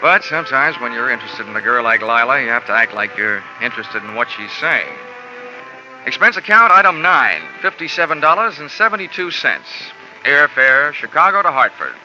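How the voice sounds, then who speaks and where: A man's voice: mid-range voice, animated, moderate pace, sharp tone. An actor playing a wry, hard-boiled male insurance investigator who narrates in dry, wisecracking first person, in 1950s radio drama style. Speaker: narrating detective lead. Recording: dull old radio recording with music.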